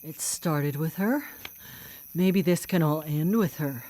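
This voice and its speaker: A woman's voice: deep voice, slow, warm tone. An actress in a radio drama playing a tough, defiant female vigilante killer with a blunt, sardonic, probing manner. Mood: coaxing. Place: live radio drama broadcast. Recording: clear background noise.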